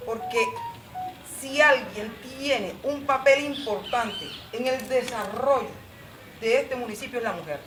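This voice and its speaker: A woman's voice: fairly high pitch, a little slow, music in the background. A woman, speaking on a forum panel with proud, emphatic storytelling. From Colombia. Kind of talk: impassioned personal talk. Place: outdoor patio. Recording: roomy, distant and noisy.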